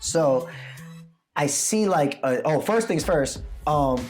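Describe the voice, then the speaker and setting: A man tells a loose, animated story on a casual show, swearing freely and acting out what people said. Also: on the high side, takes it slowly, soft background music. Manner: animated, loose, swears a lot, acts out quotes. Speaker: co-host telling a story.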